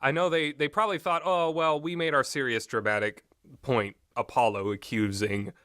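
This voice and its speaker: A man reviews games in a loose, unscripted ramble. His voice is bright and sharp-edged, with an easily amused manner. The sound is dry, with no room around it. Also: mid-range voice, fairly even intonation, moderate pace, clear, resonant tone, no room sound. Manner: rambling, often breaks into amused laughter. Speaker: video game reviewer.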